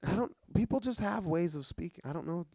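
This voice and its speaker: A man's voice: sits high, animated, a little fast, mellow, no room sound. A man hosting a podcast, arguing his point and probing his co-host's words. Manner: argumentative, probing, picks words carefully. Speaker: podcast host and comedian. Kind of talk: casual podcast chat.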